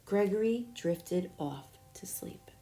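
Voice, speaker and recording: A woman's voice: on the low side, lively intonation, slow, mellow, a little hiss or hum. A woman narrating a children's storybook aloud. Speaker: storybook reader.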